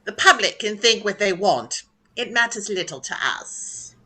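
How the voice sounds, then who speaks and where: A woman's voice: mid-range voice, animated, measured pace, bright, forceful tone, slightly rough, full character voice. A woman playing a haughty, stern aristocratic aunt in a radio play, imperious and blunt. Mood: scornful.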